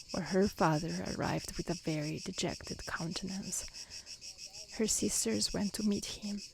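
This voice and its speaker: A calm woman reads a fairy tale softly for ASMR. Her delivery is slow and soothing, with gentle fry in the voice. Faint insects and nature ambience sit behind her. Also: medium pitch, a little hiss or hum, poor audio. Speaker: storyteller. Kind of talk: soft-spoken ASMR fairy tale reading. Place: outdoors in nature.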